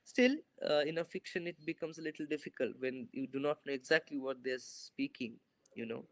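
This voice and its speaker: A man's voice: mid-range voice, a little fast, dry recording. A young man, a filmmaker, answering interview questions over a video call in a thoughtful, hesitant way with many fillers and restarts. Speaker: filmmaker guest. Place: bright indoor room. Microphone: video call, laptop camera.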